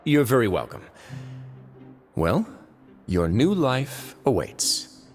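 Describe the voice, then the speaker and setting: An actor playing a composed, imposing duke who runs a prison in a video game, measured, self-assured and dryly polite. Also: male voice, medium pitch, very animated, faint music behind. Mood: coolly warning. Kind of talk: English video game voice acting.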